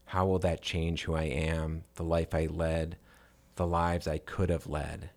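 Male podcast co-host, deep register, reflective literary talk with a halting flow. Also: flat delivery, darkish tone. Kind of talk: literary discussion.

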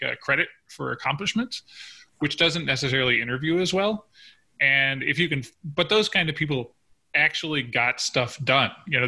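Bright, creaky-voiced man in casual discussion. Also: medium pitch, rough recording. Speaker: podcast co-host.

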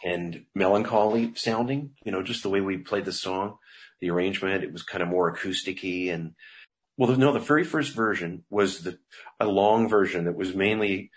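Middle-aged male songwriter, low voice, loose rambling interview talk, relaxed. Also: normal speed. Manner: rambling, loose, with frequent restarts and laughs. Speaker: songwriter guest.

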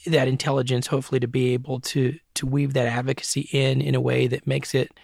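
A middle-aged man answering interview questions as an advertising strategist and academic, measured and often restarting phrases, tagging points with "right?". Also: mid-range voice, level tone, gravelly, darkish tone, no echo, studio quality.

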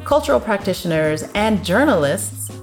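A woman hosting an interview podcast, upbeat and lively, with many short affirmations as she listens. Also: mid-range voice, normal speed, soft background music. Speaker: podcast host. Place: guest's home neighborhood.

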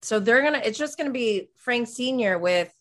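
A woman's voice: on the high side, lively intonation, normal speed. A woman in her thirties co-hosting a casual, conversational TV recap chat. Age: 30s. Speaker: podcast co-host.

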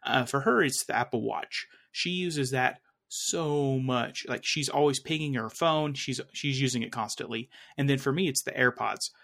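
A young man talks through gadgets in a loose, meandering way, stopping and restarting his sentences. His voice sits at an ordinary middle pitch. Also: moderate pace, gravelly, hardly any pauses, a bit bright. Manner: rambling, full of fillers and restarts. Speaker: podcast co-host. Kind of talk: casual tech chat.

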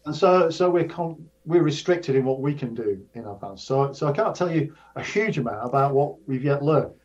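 An older man, a professor of astrophysics, explaining research in a measured way, often restarting and repeating his words. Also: mid-range voice, animated, darkish tone. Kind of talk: casual explanatory interview. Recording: dull lo-fi sound.

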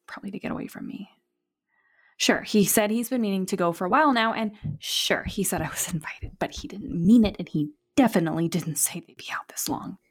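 A woman playing a sleepless, anxious and bitter young woman, talking haltingly and sardonically into an audio diary in a found-footage drama. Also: medium pitch, breathy edge, whispered, close to an everyday voice. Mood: bitter, frustrated. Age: young adult. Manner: sardonic, weary, halting, sweary, sighing.

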